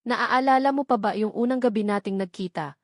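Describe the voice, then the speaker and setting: A woman's voice: on the high side, a little fast, no echo, clean studio sound, lightly acted. Affectionate young Filipino princess read by a woman. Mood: fond. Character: loving young wife and princess, grateful, playful.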